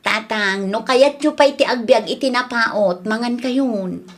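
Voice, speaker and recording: A woman's voice: fairly high pitch, unhurried. A woman acting a caring, practical family member who coaxes an old father, in an Ilocano-accented radio drama. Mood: insistent, caring.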